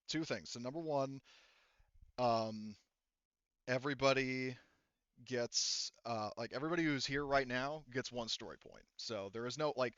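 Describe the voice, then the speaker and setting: A man running a tabletop roleplaying game as game master, explaining story and rules in a halting, informal way. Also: medium pitch, a bit bright, clear, resonant tone, no echo, highs cut off. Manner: halting, hedging, informal and profane.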